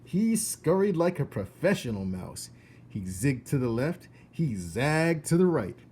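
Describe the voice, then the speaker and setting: A man reading a children's storybook aloud in an expressive narrating style. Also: on the high side, measured pace, a little hiss or hum.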